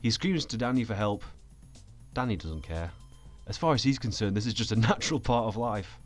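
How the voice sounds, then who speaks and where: A man giving sardonic, scripted video commentary in a deadpan, sweary manner. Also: fairly low pitch, brisk. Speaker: video essayist. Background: background music.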